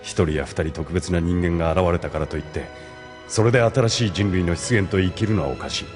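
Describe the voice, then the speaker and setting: An actor playing a grave, authoritative older man who lectures in a measured, formal way in an audio drama. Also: low voice, over music, close to an everyday voice. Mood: solemn, didactic. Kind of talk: Japanese audio drama acting.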